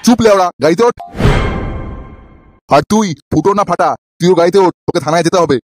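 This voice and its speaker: A man's voice: high-pitched, fast, no echo, soft background music. A man voicing a hot-tempered, bossy cartoon policeman who barks orders and threats. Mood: stern, threatening. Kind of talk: comedy cartoon dubbing.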